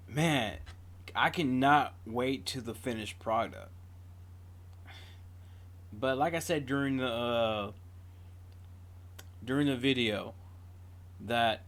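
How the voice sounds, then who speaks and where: A man's voice: medium pitch, a bit bright. A man giving casual, off-the-cuff reaction commentary as a video host, drifting into digressive storytelling.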